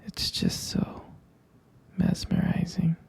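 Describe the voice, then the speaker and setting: A person whispering intimately and close to the microphone in a romantic ASMR roleplay as a tender, adoring vampire lover, with hesitant pauses. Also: darkish tone. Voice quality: breathy, husky whisper. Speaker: ASMR performer playing a loving partner.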